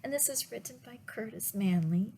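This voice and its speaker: A woman reading picture books aloud to children as a librarian, in a deliberate way with pauses and little asides to the listeners. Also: fairly high pitch, animated, mellow, a little hiss or hum. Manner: slow, deliberate phrasing with pauses. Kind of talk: read-aloud storytime with asides to young listeners.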